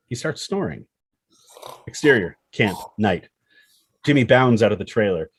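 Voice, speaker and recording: A man narrates screenplay action and scene headings as scripted narration. His voice is lowish and rough-edged, husky, and recorded very dry. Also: dry recording. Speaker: stage-direction narrator.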